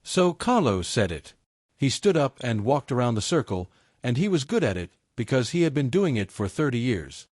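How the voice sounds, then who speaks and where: A man narrates a dramatic story in the manner of an audiobook. His pitch moves freely through each sentence, and the sound is dry, with no room around it. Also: big pitch swings, moderate pace, a little mellow, dry recording.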